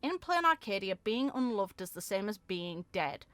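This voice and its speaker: A woman's voice: mid-range voice, sing-song, moderate pace, a bit bright, dry recording. A woman voicing a mischievous, showy young female streamer from a game story, theatrical and teasing. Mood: mocking. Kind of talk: game lore character read-aloud.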